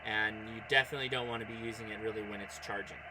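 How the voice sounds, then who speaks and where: A man's voice: lowish voice, fairly even intonation, racing, noisy background. A man chatting casually on a livestream as he operates a radio, thinking aloud in a distracted way. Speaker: amateur radio streamer.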